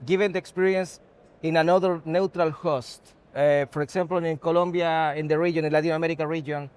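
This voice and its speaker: Higher-pitched man with a Chilean Spanish accent. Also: steady tone, a little mellow, clear, resonant tone. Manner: halting, non-native English phrasing. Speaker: technology executive being interviewed. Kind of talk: unscripted interview answers.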